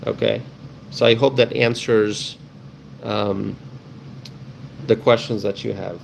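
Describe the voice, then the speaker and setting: A man's voice: lowish voice, fairly even intonation, takes it slowly, vocal fry, slight background noise, poor audio. A man with a Bangladeshi accent hosting a casual live stream, chatting with viewers in a friendly, welcoming way and often repeating himself.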